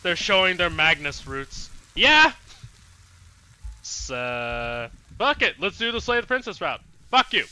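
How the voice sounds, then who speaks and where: A man's voice: high-pitched, sing-song, measured pace, bright, forceful tone, clear, resonant tone, rough recording. A man streaming a let's play, giving casual, irreverent commentary with plenty of swearing. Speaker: game streamer. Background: faint music.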